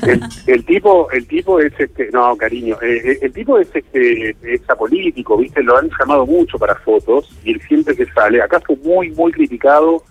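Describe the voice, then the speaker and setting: Man, Argentine accent, raspy, casual commentary, roomy sound of a remote call. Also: medium pitch, lively intonation, moderate pace, narrow, tinny audio. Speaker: guest correspondent. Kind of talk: casual spoken commentary and anecdotes. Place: remote call into a radio show.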